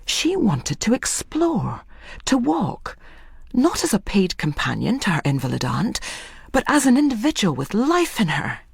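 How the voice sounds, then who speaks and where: A woman's voice: mid-range voice, huge pitch swings, a little slow, gravelly, slightly rough, dry recording, clean studio sound. A woman narrating a literary story in a scripted, dramatic style.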